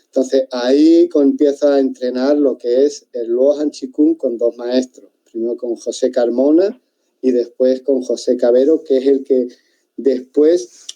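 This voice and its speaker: A man's voice: medium pitch, dark tone, dull audio. A man from southern Spain with an Andalusian accent, talking as an interview guest and telling a long story with many restarts over a video call. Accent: Andalusian Spanish. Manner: rambling, restarts often, thoughtful. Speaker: interview guest, martial arts teacher. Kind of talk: casual interview chat.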